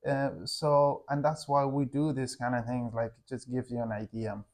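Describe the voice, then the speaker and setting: A young man speaks English as an in a relaxed chat with his wife, at an ordinary, mid-range pitch. He pauses often and circles back to find his words, filling the gaps with "like". He sounds like a teacher talking informally to his learners. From Afghanistan. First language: Hazaragi. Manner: halting, searching for words, frequent "like". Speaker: English teacher and channel co-host.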